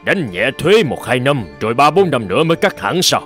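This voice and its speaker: A man voicing a calm, dignified middle-aged emperor in an animated folk tale, measured and authoritative, with music behind. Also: mid-range voice. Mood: grave, questioning.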